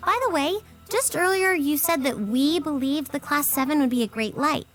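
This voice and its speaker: An inquisitive young student from a video game. Her voice is high and courteous, formal in delivery, over light music. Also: normal speed, fairly bright tone. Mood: curious. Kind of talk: scripted game cutscene acting. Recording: music behind the voice.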